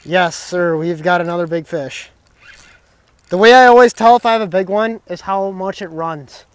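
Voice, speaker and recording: A young man giving excited, casual running commentary while fishing from a dock on a lake. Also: high voice, normal speed, smooth, dry recording. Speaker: fishing vlogger. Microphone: action camera mic, sometimes head-mounted.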